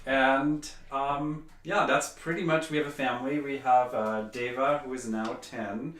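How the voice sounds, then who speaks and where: A man with a Swiss accent, a business coach speaking as a guest in a casual interview, relaxed and hesitant with fillers. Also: medium pitch, a little slow. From Switzerland. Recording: echoey room, far from mic, faint noise.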